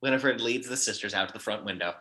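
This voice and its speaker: A man's voice: on the low side, fast talker, sharp tone. A man narrating action descriptions in a scripted table read.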